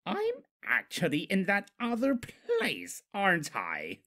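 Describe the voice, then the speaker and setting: A man's voice: high voice, huge pitch swings, slow, pushed and bright, voice pushed up, exaggerated voice. A man voicing a theatrical, irritable male spirit of chaos in a comic storybook reading. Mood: uneasy. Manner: theatrical, irritable, swinging to wonder. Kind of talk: comedic storybook character voice.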